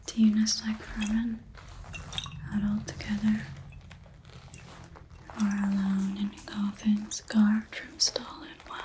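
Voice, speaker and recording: A woman playing a menacing, scornful vampire hunter in an ASMR roleplay, whispering slowly and softly, close to the microphone, as she questions a captive. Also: mid-range voice, completely flat delivery, a little hiss or hum, natural voice. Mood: cold, probing. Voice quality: soft, breathy whisper. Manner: slow, deliberate, mocking questioning.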